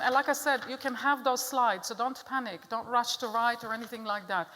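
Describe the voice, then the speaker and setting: A middle-aged Israeli woman gives a public talk with an earnest, direct manner and often puts questions to her listeners. Her voice sits a little high for a woman. The sound carries a long reverb from a large hall. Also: fairly bright tone. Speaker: speaker giving a talk.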